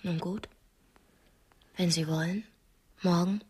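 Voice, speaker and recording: An actress playing a defiant, scornful young woman held captive, speaking bluntly with a husky voice in a radio drama. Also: on the low side, fairly even intonation, slow pace, a little mellow, exaggerated voice. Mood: cool, bitter. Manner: blunt, cutting.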